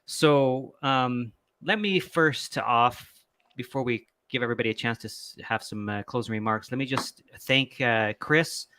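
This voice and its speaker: Host, easygoing, bright forceful tone, mid pitch. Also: male voice, measured pace, clear, resonant tone. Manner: easygoing, laughs along, brief replies. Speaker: podcast host. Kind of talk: casual video call chat.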